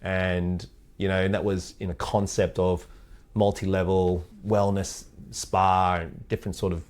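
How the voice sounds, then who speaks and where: A man from Thailand raised in Australia, speaking with an Australian accent as an interview guest, relaxed and laughing often. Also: low voice, a little slow. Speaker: guest, head of sales operations. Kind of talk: casual interview chat.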